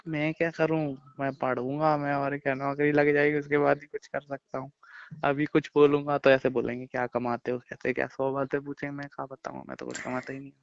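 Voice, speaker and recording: A man's voice: medium pitch, level tone, brisk, creaky, dry recording. A young man chatting casually with his partner on a phone call. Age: young adult. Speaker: boyfriend on a prank call. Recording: dull, lo-fi phone call recording.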